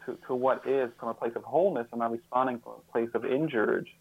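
A fifty-year-old man speaking as an interview guest, reflective and earnest, often halting and repeating words as he thinks. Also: medium pitch, steady tone, breathy edge, dry recording, phone-quality sound.

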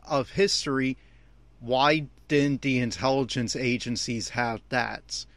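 A man's voice: mid-range voice, a bit bright, dry recording. Exasperated man giving commentary, close dry sound. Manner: exasperated, complaining. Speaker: fan podcast host. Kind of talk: opinionated anime episode commentary.

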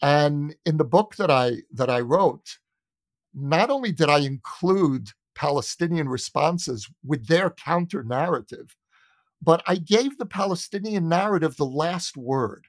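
A man's voice: a little high, expressive, a little slow, no echo. A man from the United States speaking as an interview guest, making an earnest conversational argument with emphatic, repeated phrasing. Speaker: interview guest, journalist and author.

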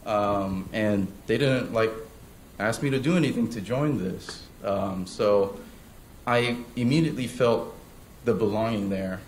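A young man from South Korea shares his testimony, pausing often and starting his sentences over. His voice sits a little low. The room around him is echoey, with faint ambience behind it. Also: slight background noise. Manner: hesitant, many fillers and restarts. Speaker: church member giving testimony. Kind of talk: personal testimony. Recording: roomy sound with faint ambience.

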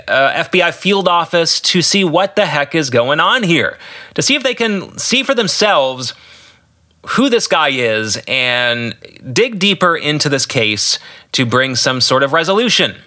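A man from the United States hosting a podcast, giving informal solo commentary on his own book with halting pauses. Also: on the high side, sharp tone.

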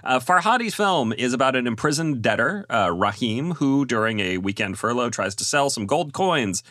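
A male podcast host with a lively, bright voice that rises and falls a lot. He reads out a film review with many small "uh" fillers. The sound is close and dry. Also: mid-range voice, normal speed, no echo. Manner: moderating, reading a film summary with many "uh" fillers.

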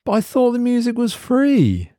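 A man's voice: very high-pitched, wildly swooping pitch, normal speed, dark tone, dry recording, clean studio sound, pitched above the performer's own voice, full character voice. A man doing a frazzled, fed-up office worker. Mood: weary. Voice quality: strained, rising in exasperation. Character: weary, irritable office worker, male adult.

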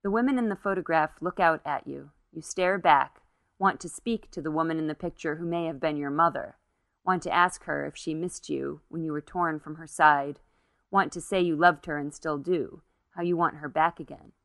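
A woman's voice: low voice, moderate pace, a bit bright, no room sound. A woman narrating a literary short story as its reader.

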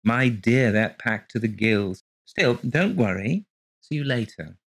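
A man reading an audiobook, voicing a brisk, reassuring family doctor. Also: medium pitch, lively intonation, a bit bright, breathy edge, pitched above the performer's own voice, exaggerated voice. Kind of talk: audiobook character voice.

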